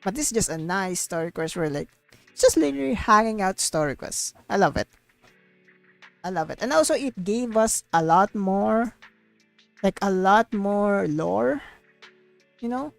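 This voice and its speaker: A woman's voice: medium pitch, animated, measured pace, vocal fry, pauses often, dry recording, faint music behind. A young woman livestreamer giving casual, rambling game commentary, repeating herself and filling sentences with "like" and "you know.". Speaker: VTuber livestreamer.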